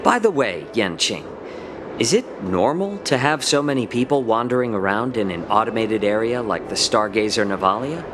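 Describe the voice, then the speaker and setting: An actor playing a courteous, composed fox-folk healer in a game cutscene, speaking in a polite, measured, formal way. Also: male voice, medium pitch, animated, noisy background, lightly acted. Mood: light, polite. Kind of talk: scripted game cutscene acting. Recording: background music under game dialogue.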